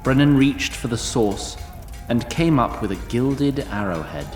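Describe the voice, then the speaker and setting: A man as a wry fantasy storyteller, narrating in formal, literary phrases. His mid-pitched voice carries plenty of echo and a long reverberant tail. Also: animated, measured pace, over music, close to an everyday voice. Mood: measured, dry. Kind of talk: scripted narration.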